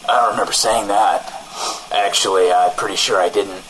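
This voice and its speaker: A man's voice: on the low side, fairly even intonation, normal speed, a bit bright, a little hiss or hum, lightly acted, rough recording. A man voicing a hyped-up, bragging demon-possessed demon hunter who co-hosts a comedy radio show with gleeful menace and contempt. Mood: evasive.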